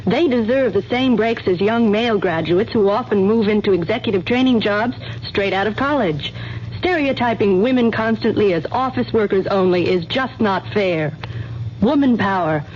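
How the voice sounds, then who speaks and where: A woman's voice: medium pitch, moderate pace, a little mellow. A woman reading a scripted public service message as a radio announcer. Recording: dull, faintly noisy radio recording.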